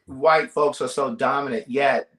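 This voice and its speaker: A man hosting a live-stream chat show, animated and quick to jump in and tease his callers. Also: medium pitch. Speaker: host. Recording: roomy echo on the host's mic.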